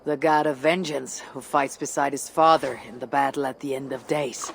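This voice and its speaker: An actor playing a bold, steady Viking warrior leader in a video game, with measured, formal phrasing. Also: male voice, mid-range voice, gravelly. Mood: steady, resolute. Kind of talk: dramatic video game acting. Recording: noisy game ambience behind the voice.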